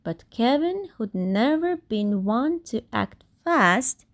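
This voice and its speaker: Female storybook reader, high voice, very unhurried, expressive and deliberate in stressing key words. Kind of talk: expressive read-aloud of a children's picture book.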